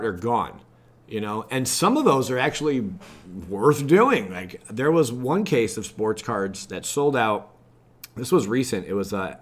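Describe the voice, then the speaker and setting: A man with a fairly low voice and an American accent talks like a gaming channel host. He rambles informally in a casual, chatty way, dropping in mildly exasperated asides as he goes. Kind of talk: casual livestream chat, reacting to web pages.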